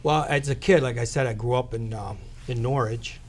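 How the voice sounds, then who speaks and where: A man's voice: mid-range voice, normal speed, a little hiss or hum. A man in his late forties, born in Italy, talking as a deli owner in a local interview, plain-spoken with many fillers. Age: 40s.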